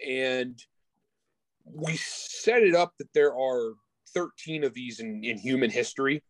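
A man's voice: mid-range voice, unhurried, no room sound, low-quality recording. A man speaking as a guest author in a casual interview, informal and slangy, often restarting his sentences. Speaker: guest author discussing own book.

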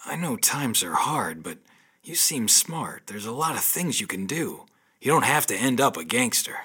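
An actor playing a wry, laconic, world-weary ex-convict detective in a hardboiled audio drama. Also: male voice, mid-range voice, big pitch swings, normal speed, pushed and bright, breathy edge, exaggerated voice. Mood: earnest, low-key.

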